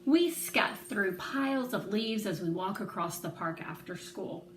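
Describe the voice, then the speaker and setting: A woman reading a children's novel aloud in expressive storytelling narration. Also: medium pitch, measured pace. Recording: roomy echo, faint background noise.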